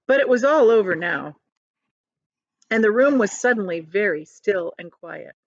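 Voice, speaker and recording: A woman's voice: medium pitch, expressive, measured pace, dry recording, lo-fi sound. A woman reading a story aloud to children like a teacher, expressively, with simple explanatory asides.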